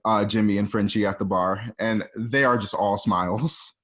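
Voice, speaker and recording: A man's voice: lowish voice, steady tone, normal speed, a bit bright. A man reading scripted scene descriptions as the narrator of an amateur radio play. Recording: dull lo-fi home recording.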